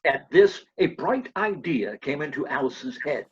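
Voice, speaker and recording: A woman's voice: low-pitched, big pitch swings, a little slow. A woman reading scripted narration for a readers' theater storybook piece, over dull lo-fi audio.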